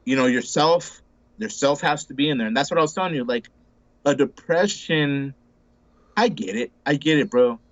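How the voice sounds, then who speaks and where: A man's voice: on the high side, lively intonation, normal speed, no room sound, muffled recording. A man in his forties, talking casually as an interview guest, rambling with lots of restarts and repeated words, and calling people bro and dude. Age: 40s. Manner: laid-back, says bro and dude a lot. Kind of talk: casual conversation.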